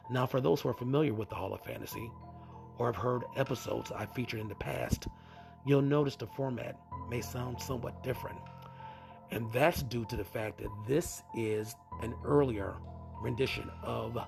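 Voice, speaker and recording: A man with a scratchy voice from a cold, introducing a podcast as its host. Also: mid-range voice. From US East Coast. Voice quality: scratchy, gravelly. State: fighting a cold. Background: music.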